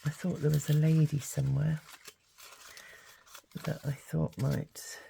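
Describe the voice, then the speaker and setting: Croaky, gravelly older woman crafting. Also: low voice, unhurried, slight background noise. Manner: chatty, relaxed, thinking aloud. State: croaky from hay fever. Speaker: craft channel host. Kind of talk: casual craft tutorial.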